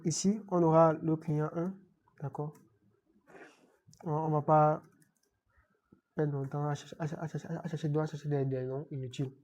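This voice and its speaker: Man with a cold, halting tutorial talk. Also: a little high, warm tone, lo-fi sound. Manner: halting, many repeated words and restarts; checks in with "d'accord?" often. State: has a cold, clearing throat. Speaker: IT tutorial presenter. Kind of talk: unscripted screen-recorded tech tutorial.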